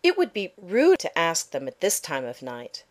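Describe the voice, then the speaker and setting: A woman's voice: lowish voice, wildly swooping pitch, normal speed. A woman playing a smug, manipulative young stepsister with a mock-innocent, bossy manner in a fairy tale audio drama.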